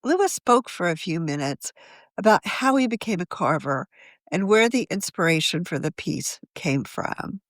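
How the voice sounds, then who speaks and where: A woman reading a written article aloud as a magazine editor, in a measured, journalistic manner of scripted narration. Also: on the low side, animated, dry recording, studio quality.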